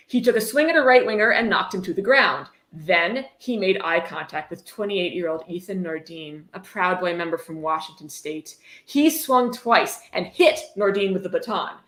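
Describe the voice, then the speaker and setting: A woman's voice: on the low side, pushed and bright. A woman hosting a casual livestream reading, adding wry, sarcastic and blunt commentary as she goes. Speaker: host reading a book aloud with commentary. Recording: roomy, echoey sound.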